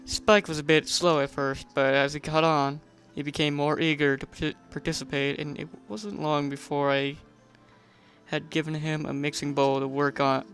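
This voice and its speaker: A narrator giving a first-person dramatic story reading in an animated way, sometimes stumbling and rereading lines. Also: mid-range voice, normal speed, faint music behind. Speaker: narrator reading a fan fiction.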